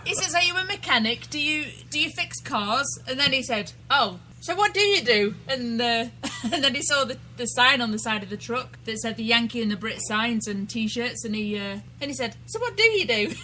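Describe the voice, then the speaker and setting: Bright, giggly British woman co-host. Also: a little high, animated, normal speed, a little hiss or hum, rough recording. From Britain. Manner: giggly, chatty. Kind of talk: casual chat.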